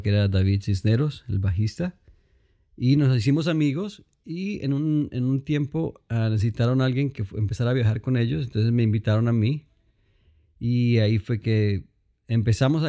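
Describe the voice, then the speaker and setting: Colombian man in his thirties with a Mexican-tinged accent, low mellow voice, loose rambling interview storyteller. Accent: Mexican-influenced Spanish. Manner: relaxed, rambling, frequent fillers and restarts. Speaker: guest, music producer.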